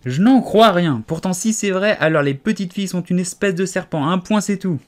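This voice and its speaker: A man voicing a shrill, paranoid pigeon guarding its eggs, lamenting and scornful by turns. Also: animated, slow pace, warm tone, exaggerated voice. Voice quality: shrill, strained cries. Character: shrill, paranoid, wailing female-nest pigeon.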